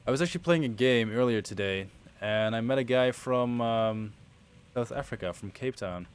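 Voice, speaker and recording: A Dutch man streaming a game, chatting to his viewers in a relaxed, rambling way. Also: mid-range voice, normal speed, clear, resonant tone. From Netherlands. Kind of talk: casual livestream commentary.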